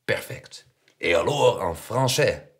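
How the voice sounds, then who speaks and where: Man putting on a small, animated old shopkeeper, sixties. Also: deep voice, normal speed, vocal fry, pitched above the performer's own voice. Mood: brisk, haggling. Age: 60s. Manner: mixes Dutch with French and Yiddish.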